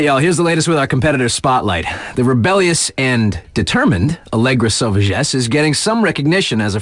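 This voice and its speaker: A male actor plays a cocky young radio DJ from a snowboarding video game. His mid-pitched voice swings widely through slangy, playful hype, with swagger in every line. The sound is dry and close, like a studio booth. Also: dry recording, lightly acted. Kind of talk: hyped radio sports spotlight patter.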